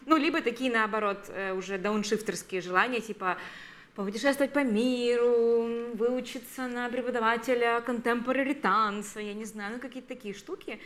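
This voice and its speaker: Lively thirty-year-old woman podcaster. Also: normal speed. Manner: lively, acts out quotes in a high voice. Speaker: podcast co-host. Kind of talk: casual chat.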